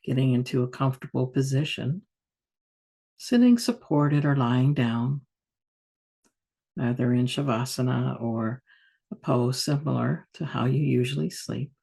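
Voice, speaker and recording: A woman meditation teacher guiding a yoga nidra practice in a soft, soothing, unhurried manner with long pauses. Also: rumbling low, gravelly, breathy edge.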